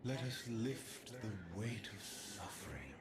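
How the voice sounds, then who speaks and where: An actor playing a solemn, persuasive godlike alien villain in a video game, speaking gravely and deliberately. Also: male voice, fairly low pitch, sing-song. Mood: ominous, solemn. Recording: loud background noise.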